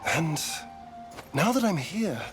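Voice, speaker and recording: A man's voice: on the high side, big pitch swings, slow, a bit bright, soft background music, full character voice. A man playing a vain, sardonic, flirtatious vampire elf in a video game, arch and theatrical. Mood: disdainful. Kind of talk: video game dialogue acting.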